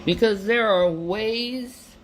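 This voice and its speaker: A woman's voice: mid-range voice, slow, slight background noise. A woman giving an earnest motivational monologue, emphatic and repeating herself.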